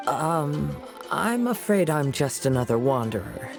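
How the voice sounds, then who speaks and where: A man voicing a modest young wandering swordsman in an anime game, courteous, soft-spoken and poetic. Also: a little high, sing-song, a little slow, gravelly, over music, heavily acted. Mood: humble. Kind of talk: anime video game voice acting.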